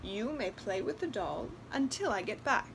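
A woman voicing a kindly, loving old grandmother in a storybook, tender and unhurried. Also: mid-range voice, animated, creaky, background noise. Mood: calm, kindly.

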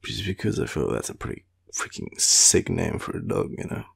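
A tired young Australian man murmuring an affectionate, rambling boyfriend roleplay in a deep, gravelly, breathy voice. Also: huge pitch swings, normal speed. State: tired, sleepy. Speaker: boyfriend roleplay audio performer. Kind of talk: intimate sleepy roleplay, ASMR-like rambling.

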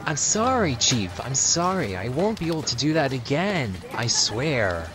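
Apologetic male junior officer, put on by a roleplayer: meek, animated intonation, audio drama. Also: medium pitch, unhurried, background noise. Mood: sheepish.

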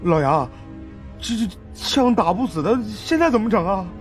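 A man voicing an excitable, nervous chubby sidekick in an audiobook. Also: sits high, normal speed, soft background music, voice pushed up. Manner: excitable, stammering, drawn out. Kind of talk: audiobook character acting.

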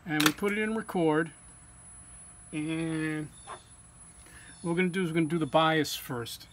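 Higher-pitched man giving a hands-on demo, noisy. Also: unhurried, a bit bright. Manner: step-by-step, plain-spoken. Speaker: technician demonstrating equipment calibration. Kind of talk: informal hands-on tutorial. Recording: clear background hum or ambient noise.

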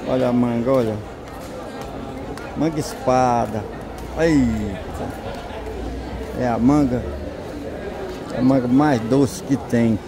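A man with a Northeastern Brazilian accent strolls through a busy street market and talks about what he sees at an unhurried pace. His voice sits in an ordinary middle pitch, and loud crowd chatter and passing vehicles fill the outdoor space around him. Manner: slow, savoring, points out goods. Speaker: vlogger. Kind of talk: walking market tour commentary.

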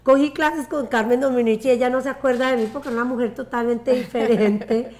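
A Colombian woman in her forties tells her life story on a sofa, her voice sitting a little high. She talks in an animated way and gestures with her hands. She is on a lapel mic in a bright living room. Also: brisk. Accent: Colombian Spanish. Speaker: guest. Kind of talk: casual interview storytelling.

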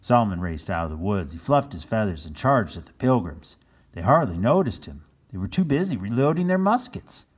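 A man narrating a children's storybook aloud in an expressive read-aloud style. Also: lowish voice, a little fast, breathy edge. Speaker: storybook reader.

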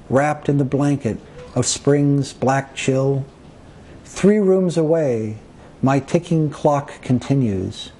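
A man recites his own poetry slowly, in short, deliberate phrases with pauses between them. His voice sits a little high for a man, with a soft, mellow tone and a husky grain. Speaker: poet. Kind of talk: poetry reading of own work.

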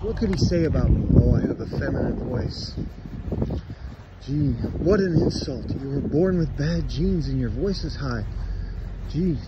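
A man walking his dog outside, ranting sarcastically into his phone in a casual vlog. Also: on the high side. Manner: sarcastic, taunting. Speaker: vlogger. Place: outdoors on a grassy roadside in winter. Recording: lo-fi phone audio with outdoor traffic noise.

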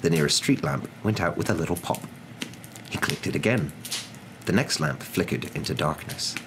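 Very deep young male storyteller. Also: expressive, moderate pace, background noise. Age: young adult. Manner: soft-spoken, dramatic. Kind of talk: ASMR story narration.

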